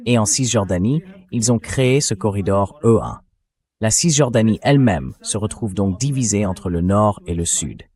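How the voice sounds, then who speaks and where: A man's synthetic voice reading translated commentary as an interview guest, explaining points in a measured way. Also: fairly low pitch, darkish tone. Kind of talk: translated political commentary voice-over read by synthetic voice.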